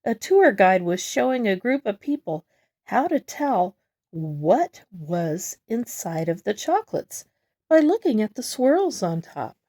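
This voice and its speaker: A woman reads a picture book aloud for young children. Her voice is soft-edged and mellow, moving slowly with animated, expressive pitch. She leans on key words as she tells the story. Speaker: storybook reader. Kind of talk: children's read-aloud narration.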